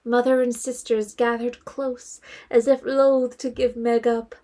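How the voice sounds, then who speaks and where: A woman's voice: fairly high pitch, flat delivery, a little slow, warm tone. A woman reading scripted literary narration for an audio drama.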